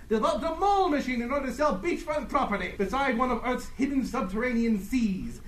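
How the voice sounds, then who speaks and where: A man's voice: very high-pitched, a bit bright, echoey room, a little hiss or hum. A man playing a mock-dramatic announcer, narrating a comic radio serial in a grandiose, tongue-in-cheek style.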